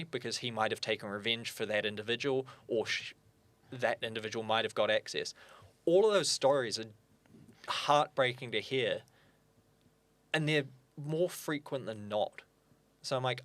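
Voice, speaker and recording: A young man, speaking as an interview guest in an earnest, fluent way with quick asides. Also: lowish voice, a bit bright. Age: young adult. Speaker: guest, firearm owners' spokesperson. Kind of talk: casual interview chat.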